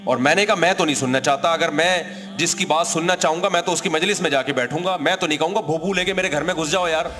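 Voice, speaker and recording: A man's voice: on the high side, quick pace, hardly any pauses, bright, forceful tone, big-room sound, soft background music. A male cleric speaking to an audience, conversational and argumentative, recounting his own exchanges. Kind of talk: speech to an audience.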